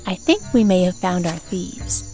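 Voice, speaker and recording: A woman's voice: lowish voice, sing-song, normal speed, a little mellow, soft background music. A woman playing a caring, practical elf mother, earnestly explaining, in a fantasy audio drama.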